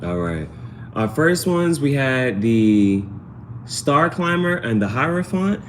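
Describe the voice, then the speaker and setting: A man's voice: medium pitch, very animated, slow pace, a little hiss or hum. A man hosting a casual live tarot reading, chatting to viewers in slangy speech and often repeating his phrases. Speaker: tarot reader, livestream host.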